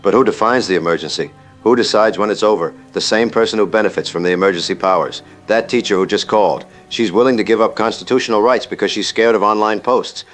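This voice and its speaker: A man's voice: on the low side, quick pace, fairly bright tone, soft background music, natural voice. A man playing a grave, resolute military veteran calling in to talk radio. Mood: grave, emphatic. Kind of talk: radio call-in drama acting.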